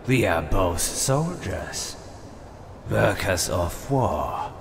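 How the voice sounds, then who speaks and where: An actor playing a gloating enemy commander in a video game cutscene, theatrical and deliberate, with admiring menace. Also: male voice, fairly low pitch, noisy background, heavily acted.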